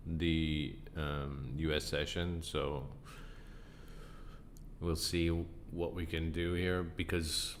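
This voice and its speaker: A man hosting a casual live trading stream, croaky and tired, answering chat questions in a relaxed way. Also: a little hiss or hum. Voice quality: croaky, deep from sinus trouble. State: tired, up a long time. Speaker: livestream trading host.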